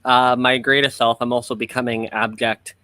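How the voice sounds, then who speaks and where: A man's voice: medium pitch, flat delivery, normal speed, sharp tone, clean tone, no room sound. A man hosting a casual, riffing radio interview in a wry, playful way. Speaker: podcast and radio host.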